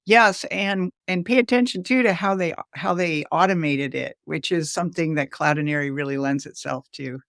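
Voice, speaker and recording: A woman's voice: low-pitched, normal speed, creaky, hardly any pauses, fairly bright tone, no echo, studio quality. A woman co-hosting a podcast in casual conversation. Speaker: co-host, curriculum program manager. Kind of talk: casual co-host chat.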